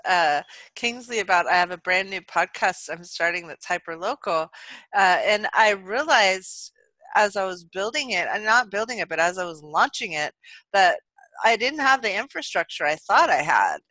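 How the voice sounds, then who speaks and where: A woman's voice: mid-range voice, lively intonation, normal speed, bright, forceful tone, dry recording. A woman hosting an interview show, chatting in an enthusiastic way with many fillers. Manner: enthusiastic, lots of fillers like "like" and "you know". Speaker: podcast host. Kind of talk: upbeat interview chat.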